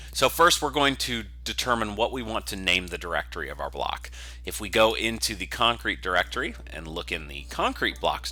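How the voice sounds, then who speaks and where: A man narrating an informal software tutorial in a conversational, explanatory way. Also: low voice. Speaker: software developer teaching a tutorial.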